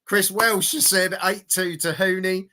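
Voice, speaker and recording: A man hosting a boxing watch-along in casual, blokey, sweary live chat, repeating himself for emphasis, his voice going hoarse. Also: high voice, fairly even intonation, measured pace, bright, forceful tone.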